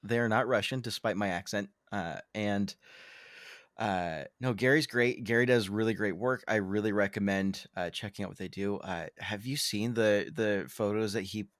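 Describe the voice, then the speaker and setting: A man's voice: fairly low pitch, normal speed, fairly bright tone, dry recording, studio quality. A man co-hosting a podcast in casual chat, rambling and self-deprecating, with many ums and restarts. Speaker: podcast co-host.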